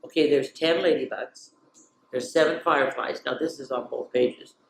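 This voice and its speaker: A woman's storytelling voice in an echoey room. She reads to children in an expressive, playful way and breaks off for chatty asides, speaking to her young listeners directly. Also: rumbling low, normal speed, gravelly, slight background noise. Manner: expressive, playful, drops into whispers for refrains. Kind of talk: animated read-aloud for children with chatty asides. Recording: roomy, echoey sound.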